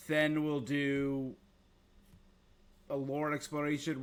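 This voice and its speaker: A man hosting a gaming livestream, chatting casually and informally with his viewers in a laid-back way. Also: medium pitch. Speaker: game streamer, host.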